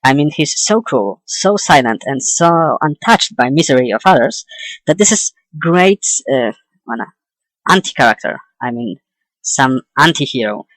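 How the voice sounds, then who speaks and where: A young adult man giving an opinionated, informal video essay as a game commentator, halting and correcting himself as he goes. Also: mid-range voice, lively intonation, fairly bright tone, dry recording, rough recording. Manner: hesitant, halting delivery with self-corrections.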